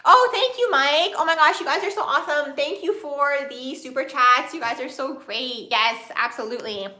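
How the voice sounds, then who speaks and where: A young woman hosting a casual astrology livestream, talking very fast and bubbly and filling her sentences with "like" as she answers viewer comments. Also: high voice, sharp tone, echoey room. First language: another language first, grew up speaking English from age four. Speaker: astrology channel host.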